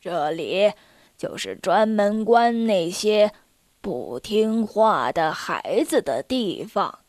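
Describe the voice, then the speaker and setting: An old circus bear with a low, heavy voice that plods along slowly, put on by a woman reading the story. He mumbles and pretends to mishear everything, sly beneath the muddle. The sound is dry and close, with no room around it. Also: lively intonation, darkish tone, dry recording.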